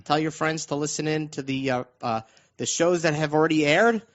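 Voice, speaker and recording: Lo-fi radio sound, male talk host, slightly raised pitch, informal, halting, word repetitions. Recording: dull lo-fi radio audio.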